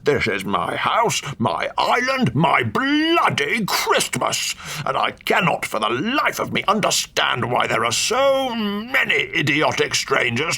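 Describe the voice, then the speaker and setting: A man voicing a cantankerous elderly male duke who blusters and scolds in slow, gruff bursts. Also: mid-range voice, pushed and bright, heavily acted. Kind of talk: audiobook character voice.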